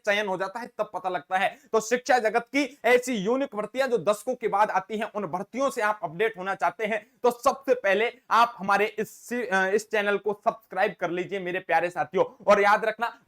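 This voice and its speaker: A man's voice: high-pitched, a bit bright. A man who teaches exam-prep classes, talking directly to his viewers in a casual, earnest way and often calling them his dear friends. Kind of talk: casual direct-to-camera explainer.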